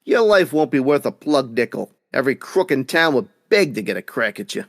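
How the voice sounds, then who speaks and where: An actor playing a cunning, smug gangster boss, tough-talking and mock-polite, in old-time radio drama style. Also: male voice, mid-range voice, very animated, brisk, dry recording, studio quality. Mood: threatening.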